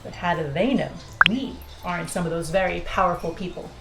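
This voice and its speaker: A woman's voice: fairly low pitch, fairly quick, gravelly, roomy sound, over loud music. A woman playing a flippant, irreverent pilot in an audio drama, with a teasing, sarcastic manner. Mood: breezy, nudging. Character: flippant, irreverent female pilot who flouts rules. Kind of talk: naturalistic audio drama acting.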